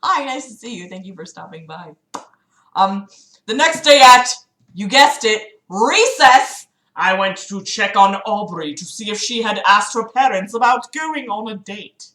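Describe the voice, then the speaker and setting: Sarcastic lady streamer reading a book out loud and roasting it, bright highish voice, roomy echo. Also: unhurried. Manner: animated, sarcastic, exasperated asides, swearing. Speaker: livestream host. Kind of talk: mocking read-aloud of a book with casual commentary to live chat.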